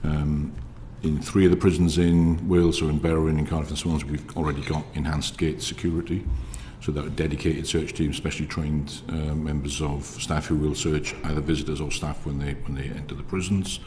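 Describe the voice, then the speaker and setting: A man with a very deep, quiet voice gives evidence in a flat, even tone. He talks in a hesitant, procedural way, full of fillers. The sound carries a long hall reverb over faint noise. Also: fairly quick, few pauses. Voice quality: very low, quiet voice. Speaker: prison service official giving evidence. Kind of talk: formal evidence to a committee. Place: parliamentary committee room.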